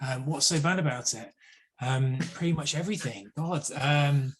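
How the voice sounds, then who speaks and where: A man's voice: fairly high pitch, moderate pace, slight background noise, rough recording. A man on a podcast panel debating films casually and emphatically, often repeating himself for effect.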